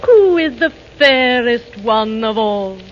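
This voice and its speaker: A woman's voice: on the high side, lively intonation, slow. An actress playing a vain, commanding evil queen in a 1930s radio drama, with imperious, incantatory delivery. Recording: muffled, noisy vintage 1930s radio broadcast.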